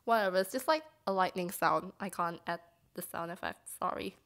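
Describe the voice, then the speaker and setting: A woman's voice: fairly low pitch, brisk, fairly bright tone. A woman reading a comic aloud as an amateur dubber, with informal, self-conscious, apologetic asides.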